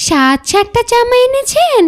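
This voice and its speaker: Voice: very high-pitched, sing-song, measured pace, exaggerated voice. A performer voicing a polite, curious little girl in a cartoon. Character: rich man's young daughter, polite, curious little girl. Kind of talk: cartoon voice acting.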